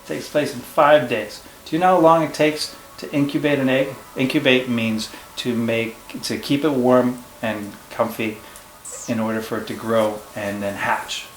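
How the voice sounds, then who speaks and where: A man's voice: medium pitch, steady tone, moderate pace, roomy sound, faint music behind, poor audio. A man teaching at home, explaining a storybook lesson to a child patiently and step by step. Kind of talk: casual classroom discussion.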